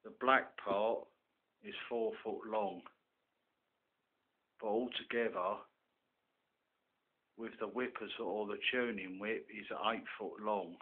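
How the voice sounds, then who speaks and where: A man talks through a hands-on hobby demonstration in a fairly low voice. He goes very slowly, in short halting pieces punctuated by little confirming tags. The sound is narrow and muffled, like a phone line. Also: fairly even intonation, husky. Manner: halting, piecemeal explaining with frequent "right" tags. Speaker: hobbyist presenter. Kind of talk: informal show-and-tell demonstration. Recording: muffled, phone-like narrow-band camera audio.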